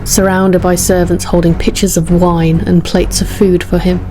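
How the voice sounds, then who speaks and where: A woman narrating a story in an even, steady way. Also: lowish voice, normal speed, music in the background, rough recording.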